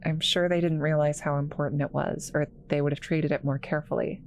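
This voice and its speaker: Low female voice, actress as awkward scientist, halting, rambling, apologetic, kind, roleplay audio, some background noise. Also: fairly even intonation, vocal fry. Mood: apologetic, flustered. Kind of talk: roleplay audio drama, speaking to the listener.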